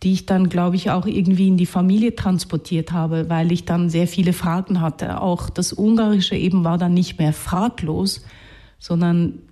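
A woman's voice: lowish voice, fairly even intonation, moderate pace, mellow. A woman with a Swiss accent and Hungarian as her first language, speaking reflectively as an interview guest, searching for words and correcting herself as she goes. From Switzerland, Zurich region.

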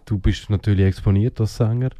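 A man's voice: on the low side, fairly even intonation, brisk, warm tone, no room sound. A man, co-hosting and asking short, probing interview questions.

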